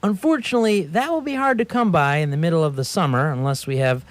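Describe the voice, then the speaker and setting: Man acting a high-voiced, fussy police subordinate. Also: quick pace, dry recording, lightly acted. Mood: earnest, precise. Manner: hesitant, polite, pedantic. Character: eager, fussy, deferential male police subordinate, Francois. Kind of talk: radio theatre acting.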